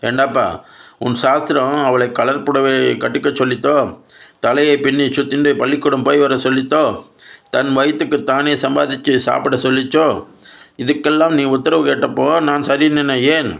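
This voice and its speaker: Guy reading as old widowed granny in a story, creaky voice, raised pitch, scolding n plaintive. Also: level tone, moderate pace, a bit bright. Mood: pleading, insistent. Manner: plaintive, scolding, insistent rhetorical questions. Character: anguished, defiant old Brahmin widow grandmother.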